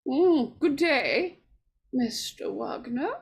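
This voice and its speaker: An actress playing a nervous, evasive, scheming married woman in 1940s radio horror style, stammering and halting as she talks. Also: high voice, expressive, creaky, slightly rough, full character voice.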